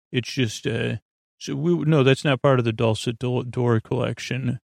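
A man's voice: mid-range voice, gravelly, darkish tone, no room sound, studio quality. A man hosting a sleep podcast, rambling through a meandering monologue full of restarts and tangents. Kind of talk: rambling late-night monologue.

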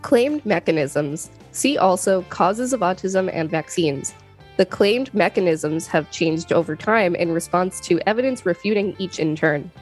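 A woman's voice: fairly low pitch, expressive, a little slow, a little mellow, soft background music. A woman co-hosting a podcast, reading an encyclopedia article aloud and commenting on it. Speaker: podcast co-host.

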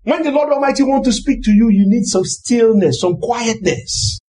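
High-pitched, animated male preacher. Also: moderate pace. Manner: emphatic, exhorting, often raising his voice; repetitive rhetorical phrasing. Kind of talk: sermon.

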